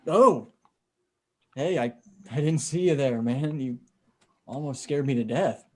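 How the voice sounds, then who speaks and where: An actor playing a weary, trusting husband and survivor in a table read, with a casual, understated delivery. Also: male voice, medium pitch, measured pace, darkish tone, natural voice. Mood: friendly.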